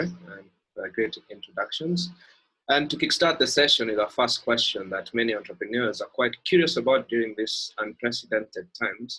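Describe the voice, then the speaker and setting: A man's voice: medium pitch, pushed and bright, slightly rough, low-quality recording. A man moderating a webinar, putting the first question to the panel in a polite, formal way.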